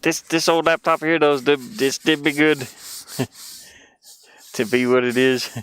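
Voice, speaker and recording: A man chatting casually on a game livestream, rambling and thinking aloud in a halting way. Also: on the high side, fairly even intonation, fairly bright tone, clean tone. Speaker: game streamer and indie game developer.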